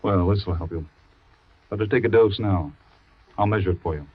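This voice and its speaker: An actor playing a husband who pushes a bitter poisoned tonic on his wife, falsely gentle and then bullying. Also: deep voice, fairly quick, breathy edge. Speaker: radio drama actor. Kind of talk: old-time radio acting. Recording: dry, dull old radio recording.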